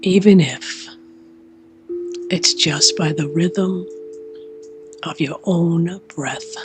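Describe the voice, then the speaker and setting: Very slow, soft woman guiding meditation. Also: fairly low pitch, background noise. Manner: soothing, gentle, unhurried delivery in short phrases with long pauses. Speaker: meditation guide. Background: meditation music.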